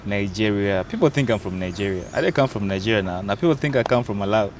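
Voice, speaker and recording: Laid-back low-voiced young man. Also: quick pace, a little hiss or hum. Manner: relaxed, playful, hesitant with fillers. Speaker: actor and content creator. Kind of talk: casual interview chat.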